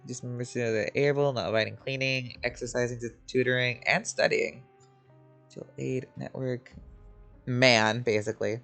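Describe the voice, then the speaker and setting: A woman's voice: extremely low pitch, fairly even intonation, moderate pace, vocal fry, a bit bright, faint music behind. A woman giving casual, informal game playthrough commentary, muttering reactions as a streamer.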